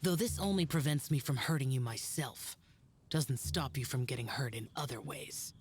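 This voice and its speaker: A man's voice: mid-range voice, lively intonation, moderate pace, lightly acted. A man voicing an aloof, stern, youthful immortal guardian in a video game, solemn and curt. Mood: cold, warning. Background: game music.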